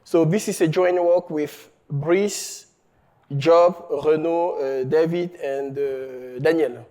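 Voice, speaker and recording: A man from Cameroon, giving a conference talk on his research, halting often and checking in with the audience. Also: on the high side, fairly even intonation, dark tone. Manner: halting, many fillers and restarts, checks in with "okay?". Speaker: researcher presenting a paper. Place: conference hall.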